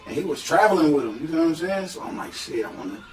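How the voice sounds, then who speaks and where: A man with a Detroit accent, a guest rapper telling stories in slangy, animated casual podcast talk. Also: fairly high pitch, quick pace, roomy sound, recorded from a distance, a crowd in the background. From Detroit, USA.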